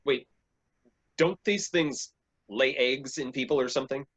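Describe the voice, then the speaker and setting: A man's voice: medium pitch, unhurried, fairly bright tone. A man playing a grumpy, whiny, bumbling police chief in a staged reading of a comedy. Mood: whiny, anxious. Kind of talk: staged reading of a comic play. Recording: slightly dull, lo-fi sound.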